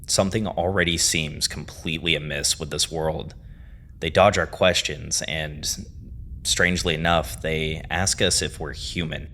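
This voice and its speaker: A man delivers dramatic scripted storytelling narration, phrasing deliberately with weighty pauses. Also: rumbling low, steady tone, sharp tone, a little hiss or hum. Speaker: narrator and host of a horror series review.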